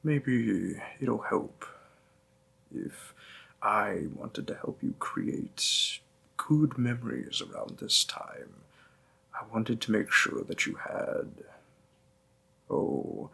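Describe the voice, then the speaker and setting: A gentle demon boyfriend, played by a man in an intimate audio roleplay. He speaks very slowly and tenderly, with a breathy, husky voice that often sinks into creak. His manner is hushed, doting and soothing. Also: on the low side, exaggerated voice. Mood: tender, reassuring. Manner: slow, hushed, tender, with pauses.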